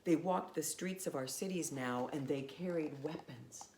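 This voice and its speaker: A woman reading a children's storybook aloud in a measured, expressive way with pauses. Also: low-pitched, a little hiss or hum.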